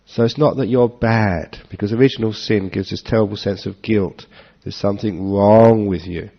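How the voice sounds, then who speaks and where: A man giving a relaxed Dharma talk to an audience, joking and chuckling as he answers questions. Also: lowish voice, moderate pace, vocal fry. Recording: dull lo-fi talk recording.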